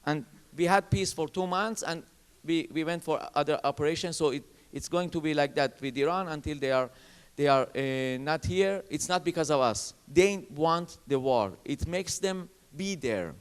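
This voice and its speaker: Man, panel speaker, emphatic and halting, English, long hall reverb. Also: a little high, clean tone. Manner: emphatic, repetitive, halting phrasing. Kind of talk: panel discussion.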